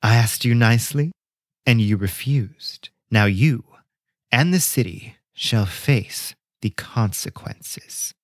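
Male narrator doing a cloaked witch high priestess: calm, breathy, menacing. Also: a little slow, dry recording, clean studio sound, natural voice. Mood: ominous.

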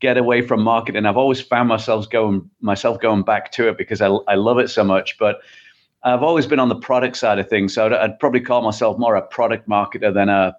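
A middle-aged man from England with an English accent talks as a podcast guest. His voice is fairly low, his delivery halting and conversational, and the recording sounds somewhat dull and lo-fi. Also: steady tone. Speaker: podcast guest, tech chief executive. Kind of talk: conversational interview answers. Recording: dull, muffled lo-fi connection.

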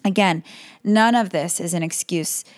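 Woman, podcast host, slightly hoarse, calm advice, scripted, dry close sound. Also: lowish voice, unhurried, no echo. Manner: calm, advising.

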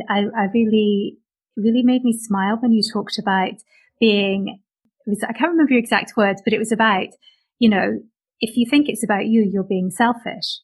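A woman's voice: mid-range voice, husky. A woman hosting an interview, reflecting on the guest's points and thinking aloud with restarts. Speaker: podcast host. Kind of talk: conversational interview.

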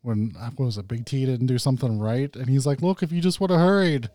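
A man's voice: medium pitch, brisk, warm tone, no room sound. A man with a Midwestern American accent from Illinois, co-hosting a podcast with wisecracking, sweary banter. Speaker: podcast co-host. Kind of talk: casual chat.

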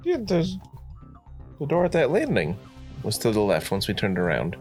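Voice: medium pitch, huge pitch swings, normal speed, darkish tone, soft background music. A tabletop game player bantering casually about what their character does.

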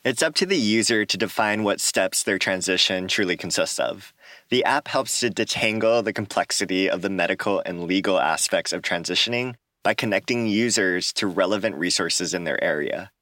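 Man narrating a podcast in a bright voice. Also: low voice, no echo, studio quality. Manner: clear, measured, scripted delivery. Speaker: podcast host. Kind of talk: scripted narration.

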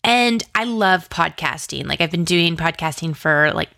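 A woman with a fairly low voice and a noticeable creak talks casually as an interview guest. Her phrasing is informal and hesitant, peppered with "like." The sound is dry and close, with very little room on it. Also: lively intonation, moderate pace, bright, forceful tone, dry recording. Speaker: guest, audio drama co-creator and writer.

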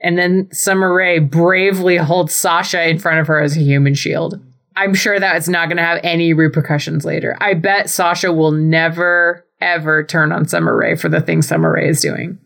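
A woman's voice: lowish voice, steady tone, normal speed, creaky, a bit bright. A woman hosting a wrestling podcast, recapping and chatting casually in a sweary, playfully sarcastic, enthusiastic way.

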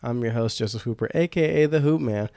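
Gravelly man hosting a podcast, nasal. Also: mid-range voice, brisk, mellow, dry recording. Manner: laid-back slangy banter. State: nasal from a cold. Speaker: podcast host. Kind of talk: casual podcast chat.

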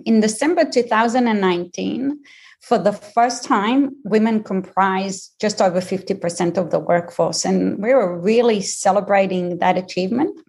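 A woman in her fifties with a mixed Israeli, Australian and American accent, explaining things in a measured way with frequent ums as a podcast guest. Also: medium pitch, creaky, a little mellow, husky. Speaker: career coach guest. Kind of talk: podcast interview.

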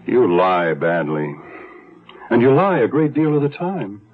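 An actor playing a suspicious, controlling husband in a 1970s radio drama, with pointed questioning. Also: male voice, mid-range voice, sing-song, measured pace. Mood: cold, accusing. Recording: muffled old radio recording.

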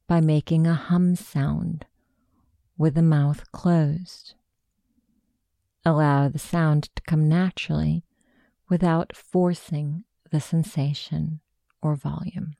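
A woman narrating a guided meditation, giving soft, deliberate, soothing instructions into a close microphone. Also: sits low, vocal fry, no echo.

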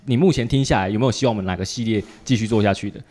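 Male podcast host, Taiwanese Mandarin accent, lowish pitch, casual and jokey conversational delivery. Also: very fast, a little mellow, soft background music.

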